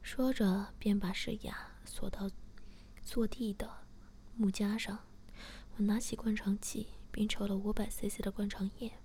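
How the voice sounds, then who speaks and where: A woman narrating a story in a soft, steady whisper. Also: medium pitch, a little slow, slight background noise. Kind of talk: whispered audio story reading.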